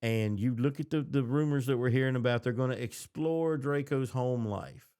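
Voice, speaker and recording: A man in a casual podcast chat, informal and opinionated, often halting as he searches for his words. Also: mid-range voice, a little mellow, clear, resonant tone. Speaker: podcast co-host. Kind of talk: casual fan discussion.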